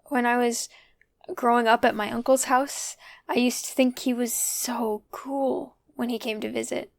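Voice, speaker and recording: Young woman, slightly high creaky voice, wry, pensive, conversational audio drama monologue. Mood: wistful. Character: wry, pensive young person alone in an isolated house. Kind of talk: audio drama monologue, casual reflective recording.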